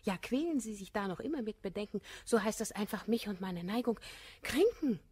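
A woman's voice: medium pitch, lively intonation, a little fast, darkish tone, slightly rough. An actress playing a proud, spirited, coquettish lady in a classical verse radio comedy, poised and haughty in her declamation. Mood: indignant, reproachful.

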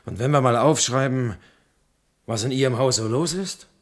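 An actor playing a reserved, probing man making official inquiries in a radio play, his voice husky and creaky. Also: mid-range voice, normal speed, natural voice. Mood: guarded. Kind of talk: German radio play acting.

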